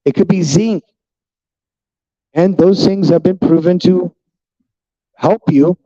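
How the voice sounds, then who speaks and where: A fifty-year-old man rants straight at his viewers in a casual vlog, blunt and quick to swear. His voice sits a bit high but sounds dark and mellow. The recording is dull and lo-fi. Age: 50s. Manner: blunt, profane, ranting. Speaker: vlogger.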